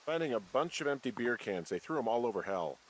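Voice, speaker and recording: A man's voice: medium pitch, big pitch swings, fast, background noise, natural voice. An actor playing an easygoing, self-deprecating American man out in the wilderness, talking in a casual, hesitant, dry way. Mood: wry, weary. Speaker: player character, fire lookout. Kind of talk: naturalistic game voice acting.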